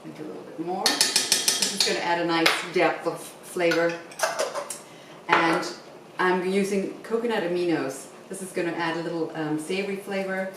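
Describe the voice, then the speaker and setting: A middle-aged woman with a low voice talks through a recipe as a home cooking host. She is recorded at a distance in a very echoey kitchen and explains each step casually. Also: fairly even intonation, normal speed. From Zimbabwe. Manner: instructive, talking while cooking. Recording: very echoey kitchen, distant mic, faint noise.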